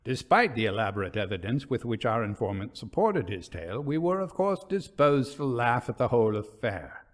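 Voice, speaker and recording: A man reading a literary ghost story as a storyteller, in measured, dramatic, formal narration. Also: mid-range voice, sing-song.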